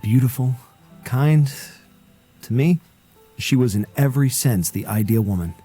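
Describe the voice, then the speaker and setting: An actor playing an earnest, guarded young man in a video game, solemnly telling of a painful past in measured, formal words. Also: medium pitch, animated, dark tone, breathy edge, soft background music. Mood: wistful.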